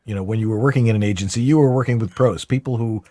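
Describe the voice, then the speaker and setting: A man's voice: lowish voice, rapid-fire, dark tone, no echo, studio quality. A man hosting a talk show, interviewing a guest in a casual, conversational way.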